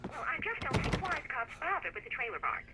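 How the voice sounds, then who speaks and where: A woman's voice: high-pitched, big pitch swings, fast. A woman playing a prickly, self-righteous small-town caller on a radio phone-in in a video game, indignant and snobbish. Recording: noisy radio phone-in call.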